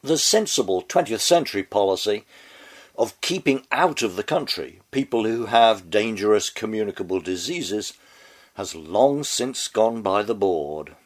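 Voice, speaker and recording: An older man reads a scripted commentary while fighting a bad head cold, his voice on the edge of giving out. He talks slowly in a lowish register, with a dry, wry, mannered delivery. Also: animated. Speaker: podcast host.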